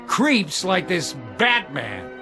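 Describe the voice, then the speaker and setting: A man's voice: high-pitched, huge pitch swings, very slow, sharp tone, soft background music, full character voice. An actor playing a cranky, blunt older American man in a video game. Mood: gruff, disapproving. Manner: blunt, grumbling. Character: cranky blunt older male city donor. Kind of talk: video game character acting.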